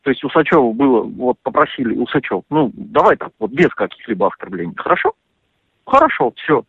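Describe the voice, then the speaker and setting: A man talking over the phone, animated and indignant, full of fillers and restarts. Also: mid-range voice, fairly quick, creaky, husky, dry recording. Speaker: interviewee on a phone call. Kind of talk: casual phone conversation. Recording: dry tinny phone line.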